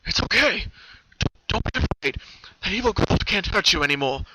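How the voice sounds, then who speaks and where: Heroic stallion officer for a pony story played by a man: raised pitch, bright, urgent, reassuring. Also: animated, moderate pace. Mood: urgent reassurance. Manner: urgent, comforting. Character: heroic, reassuring male police pony rescuer. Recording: dull, lo-fi home recording.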